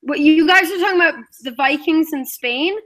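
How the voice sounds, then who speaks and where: A woman with a high voice chats in a friendly, easy way. She speaks on a relaxed online group call. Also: normal speed, a crowd in the background, low-quality recording. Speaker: panel participant. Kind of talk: casual group call chat.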